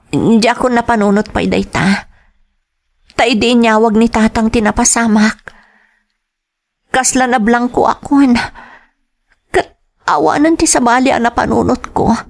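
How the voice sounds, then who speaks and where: A high-voiced actress playing a devoted daughter and girlfriend with an Ilocano accent. She speaks slowly and pleadingly, with the heavy emotion of audio melodrama. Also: gravelly. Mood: apologetic, pleading. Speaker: lead actor and first-person narrator. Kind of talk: melodramatic audio drama acting.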